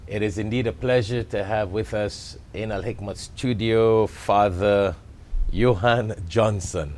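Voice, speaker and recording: A man's voice: lowish voice, fairly even intonation, a little slow, a little mellow. A man with a Trinidadian accent hosting an interview in a television studio, speaking with fillers and restarts. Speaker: talk show host.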